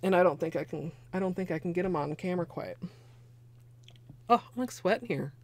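Hoarse, chatty young woman. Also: fairly low pitch, expressive, fairly quick. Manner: chatty, rambling, many "like" fillers. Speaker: nail art livestreamer. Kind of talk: casual livestream tutorial. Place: small home room with space heater.

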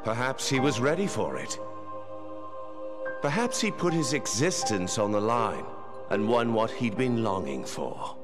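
An actor playing a suave, philosophical gambler villain in a video game, with a measured, theatrical, musing delivery. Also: male voice, mid-range voice, very animated, music in the background. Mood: wistful musing. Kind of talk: video game cutscene acting.

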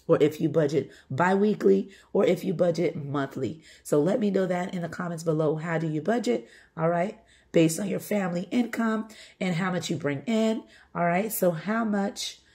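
A middle-aged woman with a groggy, hoarse voice from being sick, chatting casually as she hosts her home budgeting vlog. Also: on the low side, warm tone. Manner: chatty, repeats "all right" and "okay". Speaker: budgeting channel host. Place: home, table-top filming.

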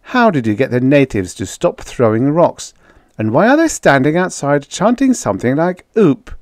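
A man's voice: medium pitch, sing-song, normal speed, no room sound, clean studio sound, pitched above the performer's own voice, close to an everyday voice. A man voicing skeptical, exasperated men of a space expedition in a story, questioning and grudging. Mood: puzzled.

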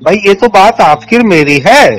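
Insistent higher-pitched man on a phone recording. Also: animated, unhurried. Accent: western Uttar Pradesh. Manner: insistent, questioning, repetitive. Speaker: police sub-inspector on a phone call. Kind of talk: informal phone argument. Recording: leaked phone call recording, music behind.

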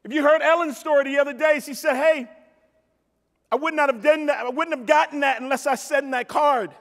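High-pitched American man speaking in a big hall. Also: steady tone, a little fast, a bit bright. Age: middle-aged. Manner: emphatic, with repetition for effect and direct address to the audience. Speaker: keynote speaker. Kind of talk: motivational keynote storytelling. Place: on stage in a large convention hall. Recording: reverberant large hall PA sound.

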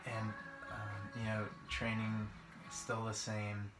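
A man's voice: lowish voice, completely flat delivery, takes it slowly. A young man doing casual gameplay commentary in a hesitant, rambling, informal way. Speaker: gaming video creator. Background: guitar music.

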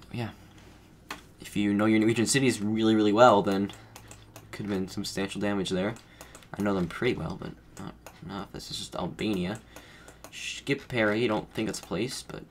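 Low-voiced man thinking aloud while gaming. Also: slight background noise. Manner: rambling, self-correcting, thinking aloud while guessing. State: under the weather, voice a bit off. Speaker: gaming streamer. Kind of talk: casual play-by-play commentary.

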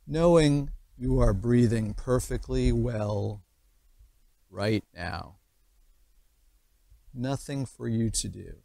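A man leading a guided meditation in measured short phrases with long pauses between them. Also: mid-range voice, creaky, warm tone, dry recording. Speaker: guided meditation instructor, mind-body medicine teacher.

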